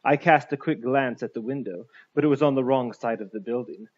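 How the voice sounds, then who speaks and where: A man's voice: mid-range voice, a little fast, dull audio. A man reading literary fiction aloud as a scripted audiobook narration.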